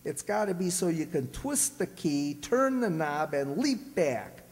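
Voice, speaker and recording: An actor playing a grumbling, blustery, wisecracking husband with folksy, slangy exasperation in an old-time radio comedy. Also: male voice, on the high side, big pitch swings, measured pace. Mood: blustering, resolved. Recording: echoey hall stage recording.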